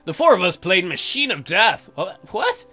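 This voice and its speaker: A woman's voice: fairly low pitch, very animated, moderate pace, fairly bright tone, faint music behind. A woman hosting a let's play, reading a visual novel aloud with joking commentary.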